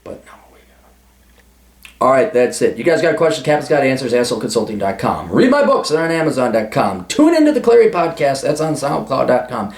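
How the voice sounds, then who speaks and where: Blunt, profane male advice vlogger; lively delivery, roomy echo. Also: mid-range voice, a little fast. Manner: crude, emphatic, swears freely. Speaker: advice vlogger answering a listener letter.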